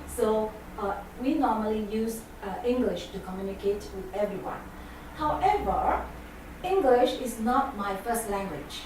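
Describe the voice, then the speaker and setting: A woman's voice: mid-range voice, lively intonation, a little slow, darkish tone. A Singaporean woman whose first language is Chinese, giving a talk to an audience in English, with many hesitant fillers. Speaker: guest speaker. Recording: echoey hall from far off, noisy.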